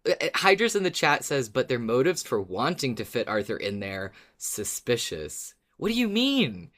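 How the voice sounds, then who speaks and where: Podcast cohost chatting casually, super animated pitch, playful, keeps restarting sentences. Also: moderate pace. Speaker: podcast co-host. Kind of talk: casual chat about a fiction podcast.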